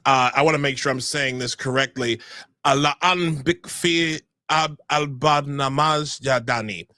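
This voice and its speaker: A man's voice: medium pitch, unhurried, sharp tone, no echo, clean studio sound. A man hosting a casual podcast, giving showman-style, hyped introductions and joking at his own expense. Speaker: podcast host.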